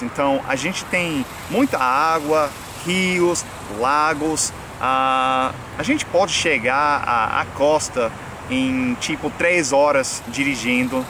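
Young American man chatting in a park. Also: a little high. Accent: American English. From United States. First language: English. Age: young adult. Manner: relaxed, chatty, frequent fillers and restarts. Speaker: vlogger and English teacher. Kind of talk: casual outdoor vlog. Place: outdoors in a sunny park. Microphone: camera mic at arm's length. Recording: outdoor noise from traffic.

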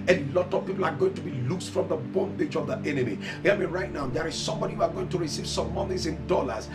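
A middle-aged man with a Nigerian accent preaching and issuing commanding, repeated decrees in a studio. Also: high-pitched. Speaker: evangelist. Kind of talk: preaching and declaring prayers. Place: indoor studio set. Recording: distant mic over backing music.

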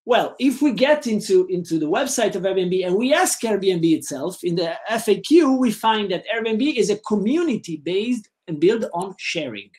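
A man's voice: high voice, expressive, a little mellow. Italian-accented male lecturer, middle-aged, webcam call, hesitant with many fillers. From Italy. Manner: halting, many fillers and repeated words. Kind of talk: online webinar lecture. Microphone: webcam video call.

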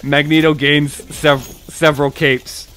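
A man's voice: a little high, little pitch movement, unhurried, over a noisy crowd. A young man on a livestream at home, reading absurd comedy scripts aloud. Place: dim room at home.

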